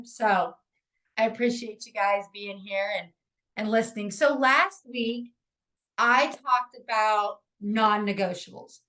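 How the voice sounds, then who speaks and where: Woman, forties, raspy and hoarse; upbeat encouraging podcast host, fairly high-pitched. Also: fairly bright tone. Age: 40s. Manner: upbeat, encouraging, rambling with fillers. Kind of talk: casual podcast monologue.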